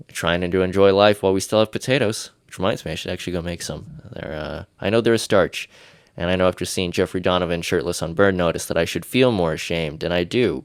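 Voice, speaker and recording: A man's voice: deep voice, clean tone, no room sound, studio quality. A man hosting a solo podcast, congested from his sinuses, rambling and joking at himself. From Irish. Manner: rambling, self-deprecating, jokey. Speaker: podcast host.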